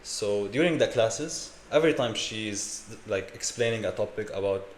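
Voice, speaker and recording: A young man in his twenties from Lebanon with a Lebanese accent, telling his story as an interview guest in a relaxed way with fillers. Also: fairly low pitch, moderate pace, reverberant, slight background noise. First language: Arabic. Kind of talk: casual interview.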